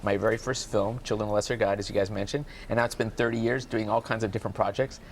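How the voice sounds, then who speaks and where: A man with a deep voice interpreting for a deaf guest, speaking her answers in the first person in a TV studio interview. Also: fairly even intonation, fast talker, a little hiss or hum. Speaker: sign language interpreter voicing a guest.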